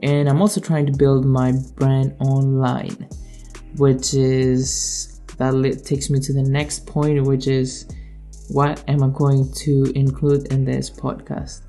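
A man's voice: medium pitch, completely flat delivery, slow pace, warm tone, faint music behind. A young man from Greece hosting his own podcast, talking in an earnest, motivational way with some hesitation. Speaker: podcast host. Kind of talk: solo podcast introduction.

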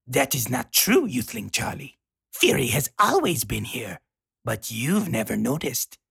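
A woman voicing a calm, mysterious wise mentor from a fantasy cartoon, with a husky voice. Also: rumbling low, a little slow, exaggerated voice. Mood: knowing, hushed.

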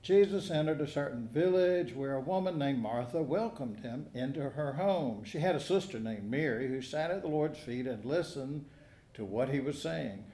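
A man preaching a sermon, reading a gospel passage and then telling stories in a measured, earnest way. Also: mid-range voice, animated, dark tone. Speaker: pastor. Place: church sanctuary. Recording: echoey church.

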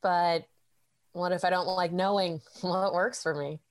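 A woman's voice: on the low side, fairly even intonation, measured pace, natural voice. A woman with a Canadian accent plays a confused, sarcastic young woman in therapy, deflecting and muttering asides. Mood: sardonic. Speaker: therapy patient. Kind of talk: student radio comedy acting.

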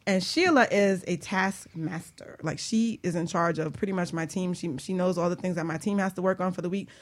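A woman hosting a casual radio chat show, talking in an animated, chatty way and acting out quotes as a business coach. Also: fairly low pitch, fairly quick.

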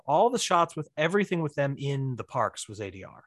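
A man chatting as an interview guest, wry and self-deprecating, often halting as he explains things. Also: mid-range voice, sing-song, no echo, studio quality. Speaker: podcast guest, writer and director. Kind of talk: casual conversational interview.

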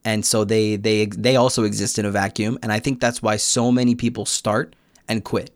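Low-voiced American man, dry close sound. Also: flat delivery, normal speed, dry recording. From United States. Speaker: podcast guest, Korean learner. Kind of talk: casual conversational interview.

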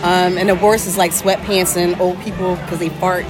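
A woman in her forties co-hosting a casual podcast chat, playful with deadpan jokes. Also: lowish voice, normal speed. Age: 40s. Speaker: podcast co-host. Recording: loud crowd and music at a busy event.

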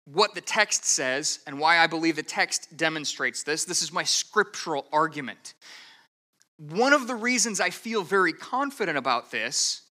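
A man's voice: sits high, pushed and bright. A man preaching an expository sermon as a pastor, explaining step by step in an earnest, methodical way, with the odd stammered restart. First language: English.

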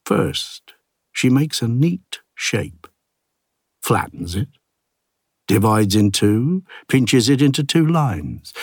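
A man narrating an audiobook as an ageing village artist, a plain-spoken, gruff and reflective loner, with a husky low voice and a wry, understated delivery. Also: darkish tone, no echo, clean studio sound, heavily acted. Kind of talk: first-person literary audiobook narration.

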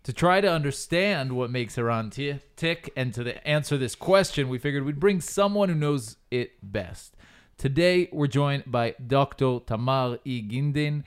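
A man's voice: medium pitch, expressive, moderate pace, hardly any pauses, smooth. A man hosting a podcast, reading an intro and then chatting in a joking, casual way. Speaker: podcast host.

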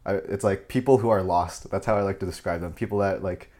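Young man, American, quick casual talker, low pitch, rambling. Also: fairly even intonation, warm tone. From United States. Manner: rambling, heavy filler "like", chuckling. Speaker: podcast guest. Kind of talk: casual chat.

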